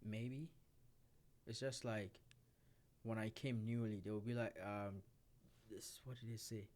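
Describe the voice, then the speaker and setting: Man co-hosting a podcast, fairly low voice, candid storyteller, casual talk. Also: level tone, a little slow, a little mellow. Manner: storytelling, candid, asks questions, laughs easily.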